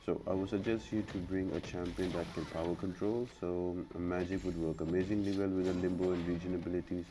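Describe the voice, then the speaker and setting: A man's voice: low-pitched, little pitch movement, a little fast. A man hosting a gaming guide, explaining a walkthrough step by step and addressing viewers directly in an instructional way. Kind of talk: explanatory game walkthrough commentary. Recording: clearly noisy background.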